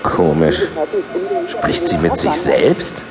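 A man's voice: a bass voice, wildly swooping pitch, takes it slowly, a loud crowd, exaggerated voice. An actor playing a puzzled, compliant man at surveillance monitors in an audio drama. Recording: music behind the voice.

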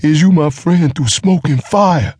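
A man's voice: on the high side, measured pace, darkish tone, slightly rough, dry recording, pitched above the performer's own voice. A man voicing a hulking, half-witted giant who pleads and whines in a strained, raised voice. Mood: whining insistent. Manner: whining, insistent, pleading.

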